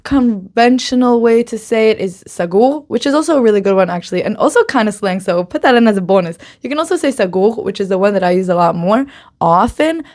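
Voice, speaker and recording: A woman's voice: mid-range voice, lively intonation, fast talker, a little mellow. Brief: upbeat woman host, casual lesson, easy mid-range voice, chatty with frequent laughs. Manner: drops in Hebrew phrases. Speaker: Hebrew slang teacher.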